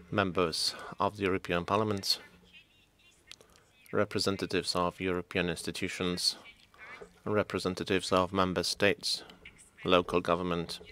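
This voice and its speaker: A man interpreting conference speeches in English, delivering them in a measured, steady way and sometimes dropping almost to a whisper. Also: deep voice, slight background noise. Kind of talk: simultaneous interpretation.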